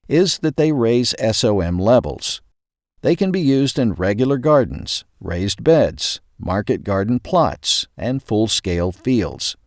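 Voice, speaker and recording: A man reading a scripted magazine article aloud in a measured, clearly emphasized narrator's delivery. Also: fairly low pitch, darkish tone, no echo, studio quality.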